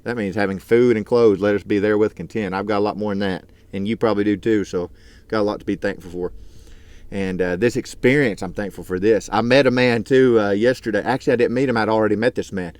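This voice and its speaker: A man's voice: fairly low pitch, fairly even intonation, fast talker, darkish tone, no room sound. A man in his thirties talking casually to his vlog from his truck, earnest and rambling with lots of fillers. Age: 30s. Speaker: truck driver and YouTuber.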